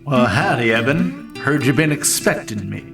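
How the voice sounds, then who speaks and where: A man's voice: mid-range voice, animated, normal speed, creaky, a bit bright, recorded from a distance, faint music behind. A man playing a cocky young cowboy ghost in a Western radio play. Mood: coolly inviting.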